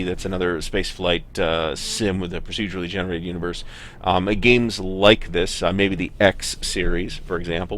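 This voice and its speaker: A man giving casual gameplay commentary and software explanation in a hesitant, rambling way. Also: low voice, slight background noise. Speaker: gaming video host. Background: spaceship game ambience.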